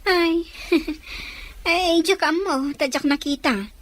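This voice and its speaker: An actress playing a gossipy, evasive village neighbour in a Filipino radio drama. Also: female voice, very high-pitched, takes it slowly.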